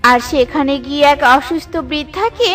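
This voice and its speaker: A woman's voice: high voice, lively intonation, normal speed, fairly bright tone. A woman narrating a children's cartoon story in a simple storytelling way. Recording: children's cartoon with music behind.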